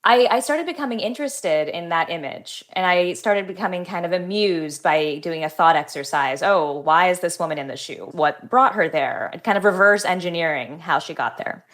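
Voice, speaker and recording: A woman novelist talking in an interview, thoughtful, articulate and measured. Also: fairly low pitch, fairly bright tone. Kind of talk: book reading and conversational interview answers.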